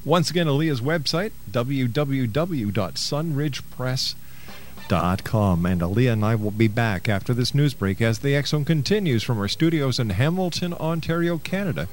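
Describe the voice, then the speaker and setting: A man's voice: medium pitch, lively intonation, normal speed. A man hosting a radio talk show, interviewing and announcing to listeners. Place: radio studio.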